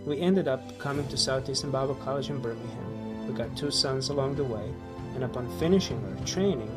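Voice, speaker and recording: A man from Croatia in his late forties with a Croatian accent, narrating his missionary work in a measured, explanatory way. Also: mid-range voice. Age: late 40s. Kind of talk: scripted video narration. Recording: voice-over with clear background music.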